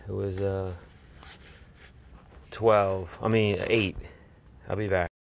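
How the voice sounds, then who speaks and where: A man's voice: deep voice, level tone, slow, creaky. A middle-aged man filming his own home building project on his phone outdoors, giving a casual, rambling walkthrough. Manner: plainspoken, rambling, working-man talk. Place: outdoors at a house, in a dug-out basement stairwell. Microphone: handheld phone camera.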